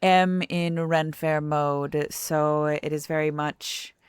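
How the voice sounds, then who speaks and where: A woman's voice: sits low, fairly even intonation, takes it slowly, clean tone, no room sound, studio quality. A young adult woman streaming a game, chatting in a rambling, self-correcting way and reading the text aloud. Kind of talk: casual let's-play chat and reading game text aloud.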